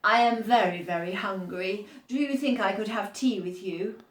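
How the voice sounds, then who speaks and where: A woman's voice: medium pitch, moderate pace, natural voice. Woman as a big, polite, hungry tiger, echoey.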